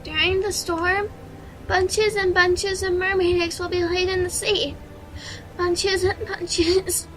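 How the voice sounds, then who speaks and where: A very high-pitched, bright schoolgirl in an audio drama. She is eccentric and childlike, speaking with theatrical whimsy. Faint music sits behind her. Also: little pitch movement, takes it slowly, heavily acted, low-quality recording. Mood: ominous, dreamy. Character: eccentric, childlike schoolgirl who tells fanciful lies.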